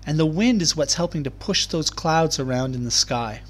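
A man reading aloud to young children as a teacher, explaining things in clear, simple terms. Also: fairly high pitch, expressive, normal speed. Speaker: primary school teacher. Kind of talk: children's read-aloud lesson.

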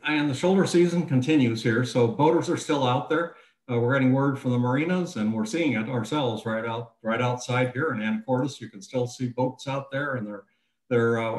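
A North American man in his sixties sharing information casually as a panelist on a video call. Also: medium pitch. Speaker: cruising guide panelist. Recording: echoey room on video call.

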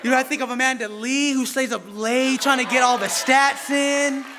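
A man in his thirties preaching a sermon from a pulpit mic, animated and playful, bantering with the congregation. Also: very high voice, normal speed, over music, crowd noise. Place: church sanctuary, indoors. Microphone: stand mic at a pulpit. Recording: reverberant church hall with faint congregation.

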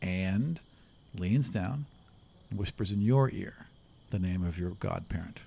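Slow-paced man narrating a game. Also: deep voice, lively intonation, creaky, warm tone, breathy edge. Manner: halting, many pauses mid-sentence. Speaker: game master. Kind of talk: improvised tabletop game narration. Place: small room, around a table. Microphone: individual table microphone, close.

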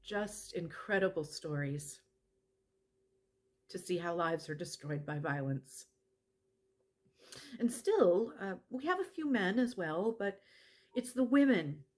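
A woman's voice: on the low side, lively intonation, slow, long pauses, darkish tone. Actress as an earnest middle-aged advocate woman. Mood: earnest, impassioned. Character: earnest woman who helps domestic violence victims. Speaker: actor in a dramatized narrative. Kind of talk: dramatized personal testimonial monologue to camera. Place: living room at home.

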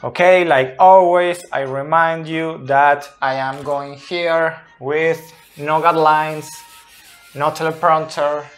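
A man's voice: on the high side, steady tone, takes it slowly, faint music behind. A man in his thirties whose first language is Spanish, explaining football tactics off the cuff at a whiteboard, recorded on a phone in a small indoor room. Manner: earnest, pointing at a tactics whiteboard while talking. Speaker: football analyst. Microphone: phone camera up close.

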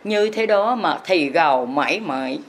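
Woman, religious teacher, chanted verse sermon, lowish voice, faint background hiss. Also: animated, moderate pace.

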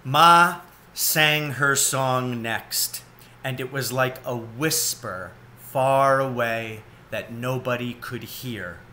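A man in his forties narrates a children's storybook at a slow, measured pace. His voice is bright and forward, at an ordinary male pitch. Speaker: storybook reader. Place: cluttered indoor room at home, seated.

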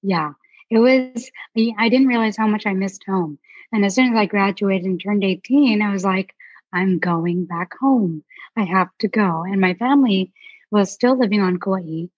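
A young woman from Alaska, an artist chatting as a guest in a relaxed, rambling way with many fillers. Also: medium pitch, normal speed, husky, dry recording, highs cut off. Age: young adult. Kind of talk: casual interview chat.

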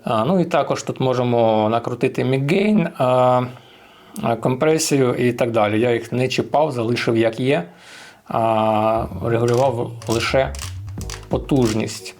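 Casting: male tutorial vlogger, mid-pitched with a creaky edge, casual, walks through settings step by step. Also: a little mellow. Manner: explains step by step, uses many diminutives. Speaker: amateur radio vlogger. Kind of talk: casual hands-on equipment tutorial.

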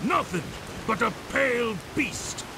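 A man voicing a scornful, wrathful spirit in a fantasy game, gravelly, slow and dramatic. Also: very high-pitched, expressive, noisy background, exaggerated voice. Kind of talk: scripted fantasy game villainy.

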